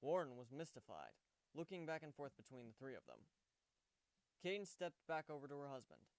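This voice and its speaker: Casting: male narrator for fantasy story readings, very expressive, clean smooth tone, dry close sound. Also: on the high side, moderate pace, no echo, poor audio. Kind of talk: dramatic fan fiction reading, scripted narration.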